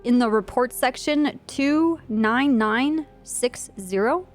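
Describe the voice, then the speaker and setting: A woman's voice: fairly high pitch, takes it slowly, soft background music. A woman leading an improvised roleplay briefing in an online game, talking casually as she explains things to a group. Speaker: streamer playing a police trooper in online roleplay, leading a meeting.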